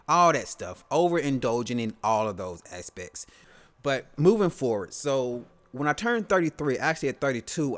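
A man in his late thirties hosting a solo podcast, talking loosely and casually, slipping into slang and playing out short quoted exchanges. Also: on the low side. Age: 30s. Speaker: podcast host.

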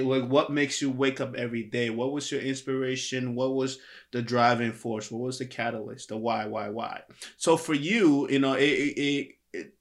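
Excited guy hosting a podcast, bright voice, keeps saying like and you know. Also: mid-range voice. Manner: animated, many fillers, rising emphasis. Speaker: podcast host. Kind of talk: enthusiastic interview hosting.

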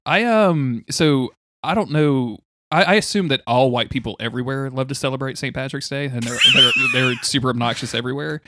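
A man's voice: mid-range voice, huge pitch swings, a little fast, heavy background noise. A man co-hosting a podcast, teasing his partner with profane, mock-outraged banter. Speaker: podcast co-host. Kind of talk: casual comedic chat.

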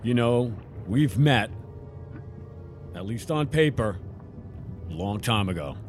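A man playing the brisk, direct leader of a team of scientists in a sci-fi audio drama. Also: medium pitch, expressive, soft background music. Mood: resolute. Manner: authoritative, direct.

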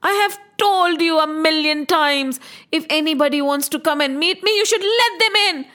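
Woman voicing an impassioned, very high-pitched devoted king, Krishna. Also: normal speed, sharp tone. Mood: indignant. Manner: emphatic, repeats phrases, impassioned. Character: Krishna, devoted emotional young king overjoyed and anguished.

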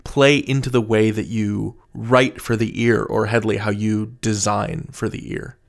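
A man's voice: on the low side, little pitch movement, unhurried, vocal fry. A man hosting an interview, asking conversational questions and rephrasing them as he goes. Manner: searching, rephrasing as he asks. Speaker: podcast interviewer, host.

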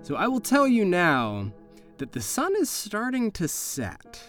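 A man's voice: high voice, huge pitch swings, measured pace, a bit bright, soft background music. A man narrating a horror tabletop game as game master, in a slangy, conversational storytelling way.

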